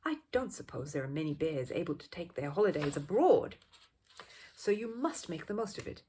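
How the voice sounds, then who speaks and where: A woman's voice: sits low, sing-song, moderate pace, dark tone, slight background noise. A woman storyteller voicing a kindly, courteous elderly man who keeps an antique shop, speaking earnestly. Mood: pleased, encouraging.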